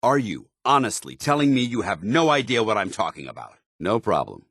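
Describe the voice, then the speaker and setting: An actor playing a stern, loyal, zealous soldier in a video game, speaking gruffly with earnest, clipped military formality. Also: male voice, lowish voice, sing-song, fairly quick, a bit bright, no room sound, studio quality, exaggerated voice. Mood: grave, then steady, firm. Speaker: companion soldier character.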